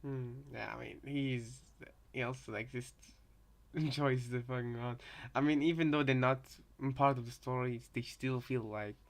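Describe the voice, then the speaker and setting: A man with a mid-pitched voice reacts casually to what he hears. He talks in a halting, conversational way and circles back over his own phrases. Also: fairly even intonation.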